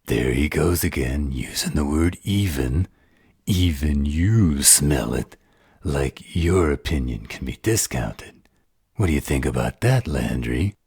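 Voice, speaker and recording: Guy doing a gravelly werewolf, super deep n slow, blunt and defiant, audio drama. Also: animated, darkish tone, no echo, clean studio sound, full character voice. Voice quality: gravelly, raspy. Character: gruff, resentful, defiant male werewolf. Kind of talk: roleplay audio drama acting.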